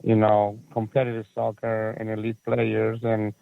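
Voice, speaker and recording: A man's voice: lowish voice, completely flat delivery, normal speed, creaky, no echo. A man in his forties from Chile with a Chilean Spanish accent, speaking as a guest in a casual interview, rambling with many fillers and restarts. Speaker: guest, soccer facility owner and coach. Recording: tinny phone-like line.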